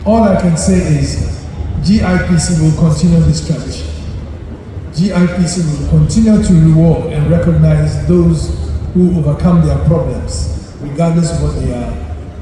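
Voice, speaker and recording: Male official, formal orator, measured and emphatic; distant, reverberant hall sound with clear noise. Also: on the high side. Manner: measured, oratorical, with deliberate pauses and emphatic phrases. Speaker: chief executive of a government agency. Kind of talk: formal public speech at an awards ceremony. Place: large hall at an evening event. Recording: echoey hall PA from far off, noisy.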